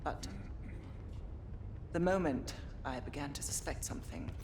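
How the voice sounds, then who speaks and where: A woman playing a cool, quietly menacing intelligence agent, measured and wry, in a video game. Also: deep voice, animated, noisy background. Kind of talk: video game cutscene acting. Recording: music behind.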